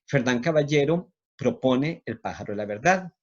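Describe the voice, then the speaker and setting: A man's voice: medium pitch, animated, moderate pace, highs cut off. A Costa Rican man with a didactic manner, speaking as a university lecturer presenting on literature. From Costa Rica.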